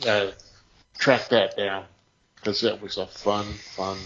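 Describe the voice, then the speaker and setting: A man telling anecdotes in casual podcast chat, speaking in short phrases broken by pauses. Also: fairly low pitch, level tone, a bit bright, muffled recording, a little hiss or hum. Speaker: podcast co-host.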